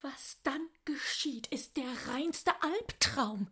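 A woman's voice: high voice, lively intonation, slow pace, pushed and bright, heavily acted. An actress playing a hysterical, indignant young woman clerk in a radio play, gossiping in exasperation. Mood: agitated, exasperated. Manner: gossipy, exasperated, whispering and wailing by turns. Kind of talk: German radio play acting.

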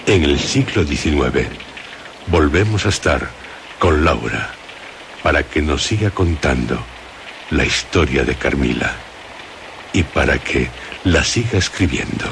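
Very deep solemn Spanish male narrator. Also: lively intonation, creaky, noisy background. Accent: Castilian Spanish. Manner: solemn, deliberate, phrase by phrase. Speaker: radio drama host and narrator. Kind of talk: scripted introduction and narration. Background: music.

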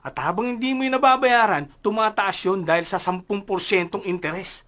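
Radio drama: worried young male Filipino sidekick, very high, bright, reproachful. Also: a little fast. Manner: slangy, reproachful. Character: worried, nagging young male barkada friend.

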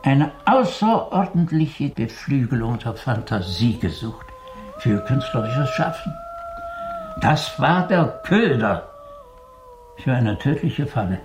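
Slow, animated learned doctor. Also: male voice, medium pitch. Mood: musing, lecturing. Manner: theatrical, deliberate, lecturing. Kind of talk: German radio play acting. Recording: faint hiss, 1980s radio drama.

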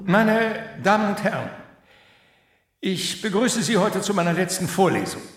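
High-pitched, oratorical older professor in an echoey hall. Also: male voice, very animated, moderate pace. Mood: solemn, confessing. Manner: formal, oratorical. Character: solemn male professor confessing guilt, believer in dark powers. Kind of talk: radio play acting. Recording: echoey hall, distant, faint noise.

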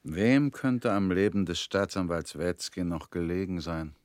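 An actor in a radio play playing a calm former prisoner who questions in a cool, probing, slightly ironic way. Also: male voice, deep voice, a little slow, no echo.

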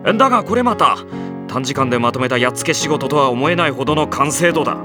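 Wry, animated teenage boy played by a man. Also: medium pitch, fast, close to an everyday voice. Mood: wry narration. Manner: dry, wry, deadpan delivery. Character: sardonic, exasperated high-school boy narrator, long-suffering. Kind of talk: anime audio drama narration and dialogue. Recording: music behind the voice.

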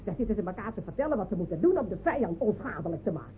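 Woman acting sharp-tongued village woman scolding men, voice swings all over, tinny noisy old radio drama. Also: medium pitch, big pitch swings, very fast, lightly acted. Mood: indignant. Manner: mocking, scolding, rhetorical questions. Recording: phone-like, noisy old radio play recording.

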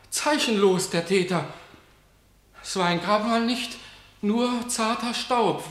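A man playing an anxious, hesitant guard in a German verse radio drama, reporting to his master with halting deference. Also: high voice, a bit bright. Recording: echoey room.

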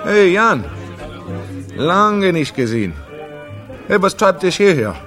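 An actor playing a friendly, easygoing pub bartender in a German radio drama, unhurried and slipping in English phrases. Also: male voice, sits high, sing-song, a bit bright, exaggerated voice. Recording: bar music and crowd behind.

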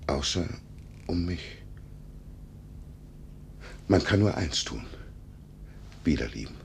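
An actor playing a lonely, self-pitying paralysed gentleman of seventy-two in a 1950s German radio drama, querulous and plaintive. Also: expressive, slow, gravelly, heavily acted. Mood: tender, earnest. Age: older. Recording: noisy, rumbling 1950s radio recording.

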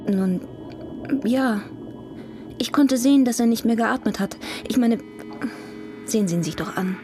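A woman's voice: a little high, a little slow, lightly acted. A woman playing a dead man's guarded, defensive daughter in a radio crime drama, clipped and prickly. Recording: music behind the voice.

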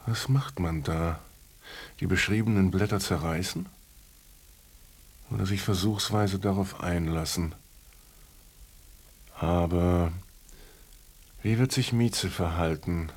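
A man playing a pensive storyteller narrating a radio drama in a reflective, measured way. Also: sits low, husky, full character voice. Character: pensive male storyteller musing over his story. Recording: loud typewriter clatter and background noise.

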